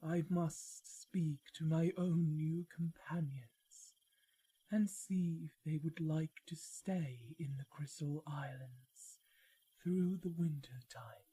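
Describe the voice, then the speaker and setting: A woman playing a gracious fantasy noblewoman in an ASMR roleplay, speaking softly and formally with measured courtesy. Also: low-pitched, steady tone, breathy edge, heavily acted. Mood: calm, courteous.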